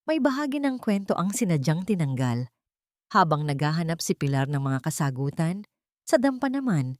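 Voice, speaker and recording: A woman's voice: sits low, darkish tone, dry recording, clean studio sound. A woman narrating a scripted dramatic story as an audiobook-style storyteller. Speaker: narrator of romantic drama stories.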